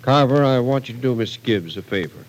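An actor playing a stern, authoritative police captain, curt but fair, in an old-time radio crime drama. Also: male voice, medium pitch, expressive, a little hiss or hum, natural voice, rough recording. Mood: stern, formal. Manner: commanding, measured, curt.

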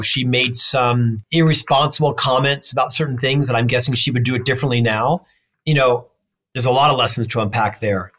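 Guy with a stutter, kinda high voice, punchy, lists stuff off fast in an interview. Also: a bit bright. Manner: emphatic, listing points in quick runs. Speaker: leadership expert guest. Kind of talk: interview answers.

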